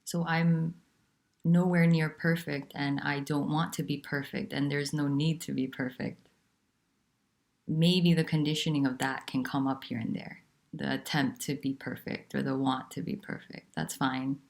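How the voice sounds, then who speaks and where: A woman teaching about nonduality, talking in a soft, unhurried and candid way with pauses. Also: sits low, little pitch movement, creaky. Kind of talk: reflective spiritual talk.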